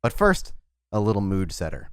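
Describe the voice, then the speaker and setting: A man's voice: lowish voice, huge pitch swings, normal speed, a little hiss or hum. A man hosting and narrating a tabletop roleplaying game as storyteller, with a dramatic, descriptive manner.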